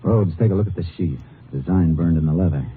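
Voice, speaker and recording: An actor playing a steady, no-nonsense Texas Ranger investigator, terse and matter-of-fact in an old radio drama. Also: male voice, sits low, fast talker, a little hiss or hum, natural voice. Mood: businesslike. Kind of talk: 1950s radio drama acting with terse first-person narration. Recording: tinny old radio recording with music.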